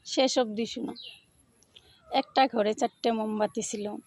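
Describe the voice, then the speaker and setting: A woman's voice: on the high side, fairly even intonation, measured pace, a little mellow, no room sound, studio quality. A woman telling a story directly to her listeners.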